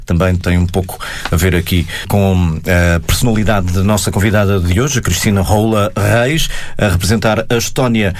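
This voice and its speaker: A man's voice: deep voice, moderate pace. A man with a European Portuguese accent hosting a radio interview, steadily prompting and summing up his guest's story. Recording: music playing under the voice.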